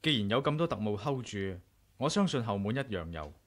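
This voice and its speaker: An actor with a Hong Kong Cantonese accent playing a confident, inquisitive adventurer hero in a 1980s radio drama. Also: male voice, medium pitch, sing-song, fairly quick. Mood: confident, decisive.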